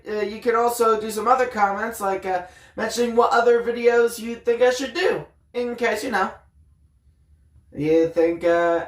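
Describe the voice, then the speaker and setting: A woman hosting a story time, switching between casual chat and expressive reading aloud. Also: a little high. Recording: roomy, echoing room sound.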